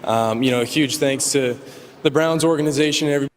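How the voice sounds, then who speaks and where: A young man giving a humble, halting acceptance speech with many fillers, played from a clip recorded in a large hall. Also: medium pitch, slight background noise. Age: young adult. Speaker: award winner giving a speech.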